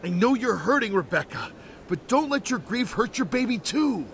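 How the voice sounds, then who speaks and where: An actor playing an exasperated, urgent brother-in-law in a video game drama, insistent and pleading. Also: male voice, high-pitched, very animated, moderate pace, slight background noise.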